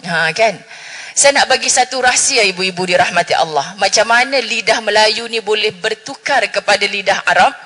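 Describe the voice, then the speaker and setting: Bright-voiced woman preacher in reverberant hall. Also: mid-range voice, moderate pace. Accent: Malaysian Malay, northern. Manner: chatty, jokes with the audience, Arabic phrases. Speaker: religious speaker. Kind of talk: lively religious forum talk. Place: mosque hall.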